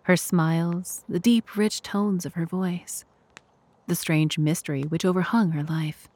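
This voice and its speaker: A woman playing a reflective doctor who narrates in the first person, in a measured, literary manner. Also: on the low side, fairly even intonation, darkish tone. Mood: wistful, musing. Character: wistful, reflective narrator doctor recalling events.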